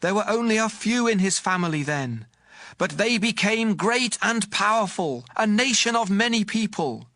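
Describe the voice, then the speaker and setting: A devout israelite man praying, solemn n real slow, high voice, audio bible drama, music under it. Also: sharp tone, full character voice. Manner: solemn, deliberate. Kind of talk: dramatized scripture reading, recited prayer. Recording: music behind the voice.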